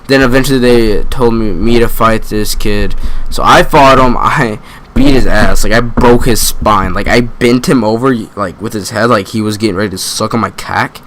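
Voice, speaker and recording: A man's voice: lowish voice, moderate pace, fairly bright tone, slight background noise, low-quality recording. A young man telling a story casually as a gaming creator, rambling and slangy, often swearing and interrupting himself. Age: young adult. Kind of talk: casual storytelling over gameplay.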